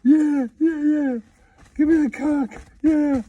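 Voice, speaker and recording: A man's voice: very high-pitched, fairly even intonation, slow pace, dark tone, dull audio, pitched above the performer's own voice. A man voicing a gleeful, taunting puppet in a puppet comedy, with speech punctuated by cackles.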